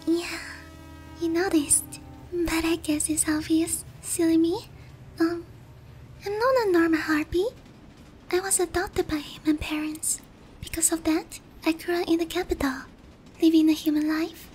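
A woman's voice: extremely high pitch, steady tone, measured pace, a little mellow, a little hiss or hum. A woman voicing a naive, sheltered young harpy in an ASMR roleplay, speaking politely and hesitantly to one listener. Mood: shy, apologetic, then earnest, hesitant. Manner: timid, hesitant, polite, airheaded.

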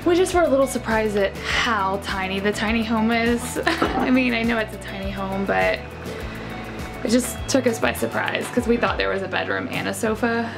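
A young adult woman with a slightly high voice talks casually, like a travel vlogger filming on the go. Loud music plays along with her speech. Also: normal speed, vocal fry, low-quality recording. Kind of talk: casual travel vlog chat. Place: varies: moving car, small cabin, outdoors. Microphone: handheld camera.

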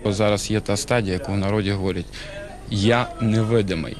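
A man's voice: lowish voice, fairly even intonation, quick pace, crowd noise. A man giving informal expert comment as a doctor. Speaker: addiction doctor.

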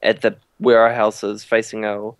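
A laid-back young man with a New Zealand accent jokes his way through a game session. His voice is low and gravelly. It is recorded dry and close. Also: monotone, moderate pace, dry recording. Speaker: gamer, co-host. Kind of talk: casual let's play chat.

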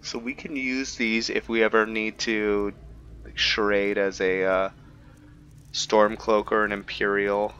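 Male let's play host, deep but bright voice, casual commentary, lo-fi recording. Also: level tone, measured pace, clean tone. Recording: dull lo-fi mic, faint noise.

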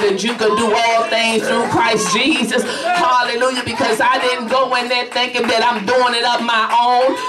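A woman's voice: a little high, background noise. Brief: woman evangelist, fervent sermon, heard from a distance in an echoey church. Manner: fervent preaching punctuated by hallelujahs. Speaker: evangelist preacher. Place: small church sanctuary, at a pulpit. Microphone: podium mic, filmed by a far camera. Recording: roomy church sound from a distance.

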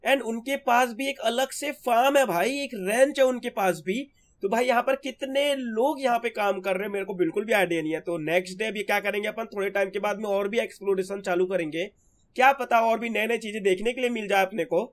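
A hoarse young man with a sore throat, chatting casually as he narrates his gameplay to his viewers. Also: high voice, fairly quick. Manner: chatty, keeps calling viewers brother. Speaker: gaming channel host.

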